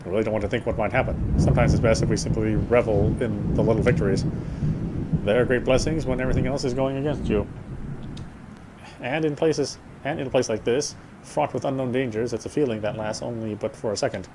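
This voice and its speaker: A man's voice: medium pitch, fairly even intonation, brisk, heavy background noise. A man reading a game's story narration aloud in a dramatic storytelling style.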